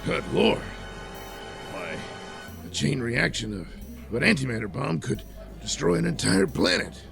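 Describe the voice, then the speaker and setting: A man's voice: mid-range voice, very animated, unhurried, vocal fry, music in the background, heavily acted. A man voicing a grave, dismayed learned crew member in a space-opera radio play. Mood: alarmed, grave.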